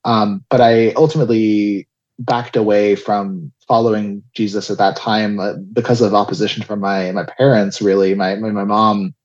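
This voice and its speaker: A young man raised in South Florida recounts his life story as an interview guest, pausing often with fillers and restarts. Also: on the low side, level tone. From Israel, raised in South Florida. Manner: hesitant, many restarts and fillers, soft laughs. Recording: dull lo-fi audio.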